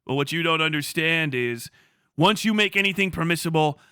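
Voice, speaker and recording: Bright, forceful man ranting on a livestream. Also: on the high side, a little fast, clear, resonant tone, dry recording, clean studio sound. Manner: profane, sarcastic, acts out mock dialogues. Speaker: livestream host. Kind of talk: casual livestream political rant, reading chat.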